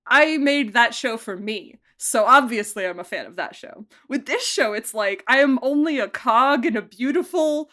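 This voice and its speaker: An enthusiastic young woman with a fairly high voice talks about producing and directing. She laughs often and tumbles forward in quick, eager bursts. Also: lively intonation, a bit bright. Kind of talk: podcast interview.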